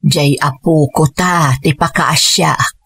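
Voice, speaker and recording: A woman playing an abandoned mother in a radio drama, speaking in a steady, earnest way. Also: low voice, slow pace, gravelly, slightly rough, full character voice. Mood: worried. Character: abandoned mother, resolute and weary.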